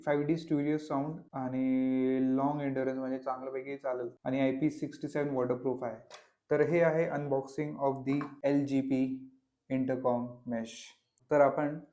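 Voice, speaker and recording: A man talks through a product in a casual vlog style. His pitch sits in the middle and stays quite flat. He is informal and drops English words into his sentences. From Pune, Maharashtra, India. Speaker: vlogger, product reviewer. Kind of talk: casual unboxing and review vlog.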